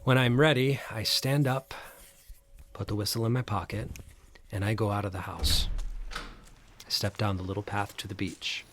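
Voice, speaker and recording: An actor playing a troubled, haunted man, telling his story in a hushed, deliberate way in an audio drama. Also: on the low side, steady tone, a little hiss or hum, close to an everyday voice. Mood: somber, resigned. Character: troubled, haunted man fleeing dread on island holiday. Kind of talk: audio drama acting with first-person narration.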